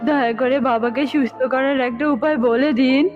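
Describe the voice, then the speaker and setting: A woman's voice: high-pitched, steady tone, brisk, natural voice. A woman voicing a brave, devoted young bird in a cartoon, earnest and resolute. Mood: pleading. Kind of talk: cartoon dubbing. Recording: dull lo-fi audio with music bed. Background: music.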